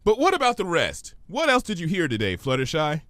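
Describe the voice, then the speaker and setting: Man playing an exasperated girl pony, raised pitch. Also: huge pitch swings, a bit bright, no room sound, studio quality. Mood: exasperated, doubtful. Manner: earnest, questioning, brisk. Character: sensible, bossy, exasperated young female cartoon pony.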